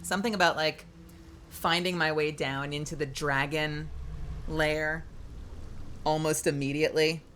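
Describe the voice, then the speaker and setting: A woman's voice: deep voice, fairly even intonation, a little slow, pauses often, fairly bright tone, a little hiss or hum. Stuffy, nasal woman streamer. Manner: chatty, scattered, talks to chat. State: stuffy, congested. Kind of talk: casual live game stream chat.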